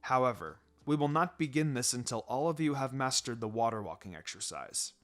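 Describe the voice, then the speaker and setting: A man voicing a stern ninja teacher who gives instructions in a measured, pleasant, faintly menacing way. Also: mid-range voice, expressive, a bit bright, voice pushed down, close to an everyday voice. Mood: calm, instructing. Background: background music.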